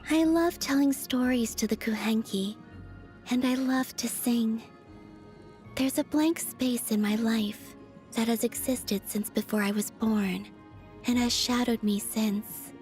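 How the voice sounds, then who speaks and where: A woman playing a gentle, wistful young moon maiden in video game voice lines, soft-spoken, breathy and measured, telling stories with otherworldly calm. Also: on the high side, faint music behind. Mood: tender, wistful. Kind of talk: video game character voice lines, storytelling monologue.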